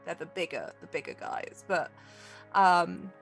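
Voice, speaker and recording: A woman's voice: lowish voice, very animated, unhurried, gravelly, soft background music. A woman running a tabletop game as game master, improvising narration in loose, meandering phrases.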